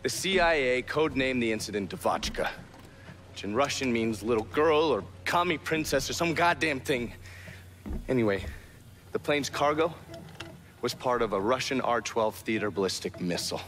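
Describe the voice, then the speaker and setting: An actor playing a gruff, foul-mouthed intelligence operative in a video game, terse and cynical as he briefs a partner. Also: mid-range voice, lively intonation, moderate pace, fairly bright tone, natural voice. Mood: grave, matter-of-fact. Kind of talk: video game cutscene acting.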